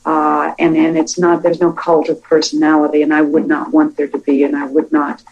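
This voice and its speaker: Low-voiced woman in a measured interview. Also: completely flat delivery, creaky, darkish tone, muffled recording. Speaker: television writer and producer. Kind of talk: reflective archival interview.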